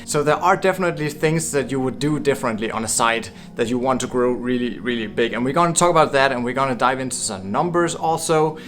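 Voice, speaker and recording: A man's voice: mid-range voice, expressive, fast talker, hardly any pauses, a bit bright, faint music behind. A man from Denmark giving a casual, upbeat explainer to camera, chatty and chuckling now and then. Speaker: blogging course creator.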